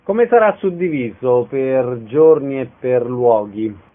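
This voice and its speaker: A man's voice: mid-range voice, lively intonation, takes it slowly, phone-quality sound. A man acting as a radio interviewer, giving introductions and putting questions to a guest. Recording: thin, band-limited radio sound.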